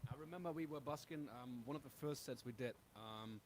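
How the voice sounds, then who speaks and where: A man, a musician in an interview, talking casually and haltingly as he feels his way through his words. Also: medium pitch. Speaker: busking musician being interviewed. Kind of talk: casual anecdotal chat. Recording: clear background noise.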